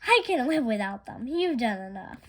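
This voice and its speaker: A woman's voice: fairly high pitch, sing-song, moderate pace. A woman voicing an exasperated lady of the house in a storybook, emphatic and put-upon. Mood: dismayed, exasperated. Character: exasperated lady of the house, long-suffering employer.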